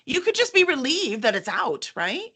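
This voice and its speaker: Enthusiastic woman host with a bright voice. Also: high voice, sing-song, normal speed. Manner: enthusiastic, informal. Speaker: host, book publisher. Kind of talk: casual promotional chat.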